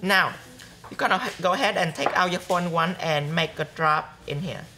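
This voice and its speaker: A young man teaching a live nail art workshop, chatty and repeating steps softly as he demonstrates. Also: on the high side, normal speed, a little hiss or hum. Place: bright studio classroom with students.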